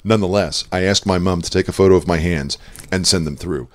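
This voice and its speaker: Low-voiced guy reading his own blog out loud, totally deadpan. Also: quick pace. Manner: dry deadpan reading, breaks into laughs. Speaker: podcast co-host.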